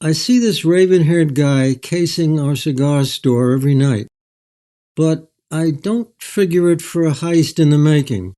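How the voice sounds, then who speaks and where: An older man telling stories as an author in an interview, in a measured, anecdotal way. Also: on the high side, warm tone. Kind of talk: storytelling in an interview.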